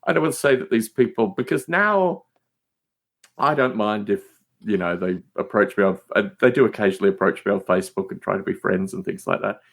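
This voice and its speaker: A man's voice: fairly low pitch, brisk, vocal fry. A man chatting casually as a podcast guest, telling digressive anecdotes with emphatic asides.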